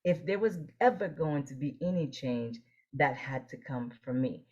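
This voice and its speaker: A woman's voice: very deep voice, expressive, normal speed, warm tone, slightly rough, dull audio. A woman from Haiti, speaking as a guest in an interview and telling her personal story in an earnest, reflective way. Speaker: guest, optometrist and leadership coach.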